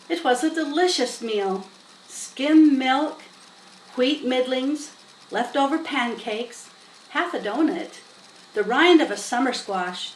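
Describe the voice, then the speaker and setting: A woman narrating a children's story expressively as a storybook reader. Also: a little high. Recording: roomy, distant mic, faint noise.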